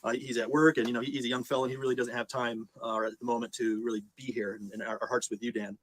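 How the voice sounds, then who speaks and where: A man's voice: medium pitch, flat delivery, fast talker. A man speaking casually and unscripted to live stream viewers, hesitant, polite and plain-spoken.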